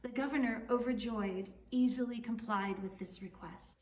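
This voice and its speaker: A woman reading a storybook aloud expressively, with deliberate, dramatic phrasing and drawn-out words. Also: a little high, darkish tone. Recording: echoey room, distant mic, faint noise.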